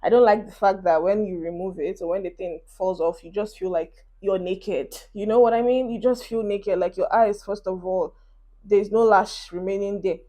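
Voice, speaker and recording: A woman's voice: medium pitch, fairly even intonation, normal speed, warm tone. Chatty young Nigerian woman vlogger. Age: 20s. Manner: chatty, encouraging, talks while doing makeup. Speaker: beauty vlogger. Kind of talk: casual get-ready-with-me chat to camera. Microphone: phone camera.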